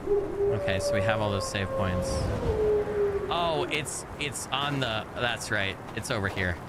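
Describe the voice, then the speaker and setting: A man's voice: lowish voice, measured pace, very noisy. A man from the United States chatting casually with viewers while streaming a game, with a faint regional accent and a rambling way of talking that restarts sentences often. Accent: faint American regional. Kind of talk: casual gameplay chat with viewers.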